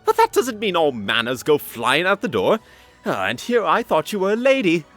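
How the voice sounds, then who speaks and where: Actor as a cocky, manic adventurer stallion, very animated. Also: mid-range voice, normal speed. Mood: exasperated, teasing. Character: cocky, flippant, manic male alien-hunting adventurer stallion. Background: music.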